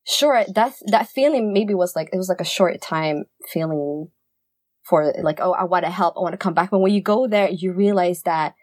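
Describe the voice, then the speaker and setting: A woman's voice: fairly low pitch, moderate pace, a little mellow, no echo. A young woman from Sweden in a casual debate, repeating her words for emphasis. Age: young adult. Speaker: podcast panelist.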